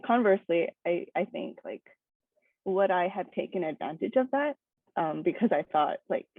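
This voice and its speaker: A woman's voice: fairly low pitch, no room sound. Chatty young woman on tinny video call. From Hawaii. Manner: chatty, many fillers and laughs. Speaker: guest speaker. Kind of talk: casual personal talk.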